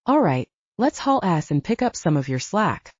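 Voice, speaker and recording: Woman doing a brisk commanding male squad leader. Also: lowish voice, very animated, slightly rough, no echo, muffled recording. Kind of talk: audiobook character dialogue.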